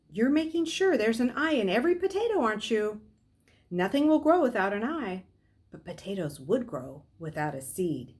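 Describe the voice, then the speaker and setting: A middle-aged woman reading a novel aloud as a storyteller, in expressive narration. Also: medium pitch. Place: small furnished room at home, indoors.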